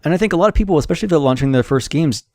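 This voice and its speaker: A man's voice: fairly high pitch, lively intonation, racing, a little mellow, dry recording. A man giving a casual, unscripted monologue of advice as a game developer, conversational and thinking aloud. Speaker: solo indie game developer hosting an audio log.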